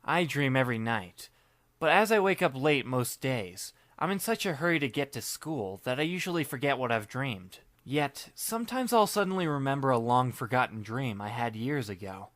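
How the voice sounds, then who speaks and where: A man narrating a fan audiobook in the first person as a wry, laid-back high school student, deadpan and musing. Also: moderate pace, no echo. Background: music, plucked guitar.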